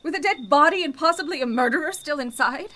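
A woman playing a frightened, flustered character in an old-time radio mystery, speaking in an anxious, halting way. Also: sits high, expressive, sharp tone. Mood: alarmed.

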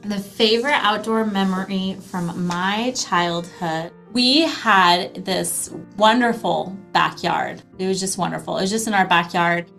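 A woman's voice: on the low side, fairly bright tone. A woman speaking as a podcast guest in casual, informal chat, enthusiastic and gushing. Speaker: podcast guest, founder of a language program.

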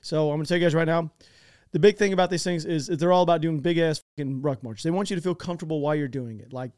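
An American man hosting a podcast, excitable and swearing freely, chatting and doing ad reads. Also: on the high side, fast, no echo, clean studio sound. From United States. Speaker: podcast host. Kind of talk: casual chat with ad read.

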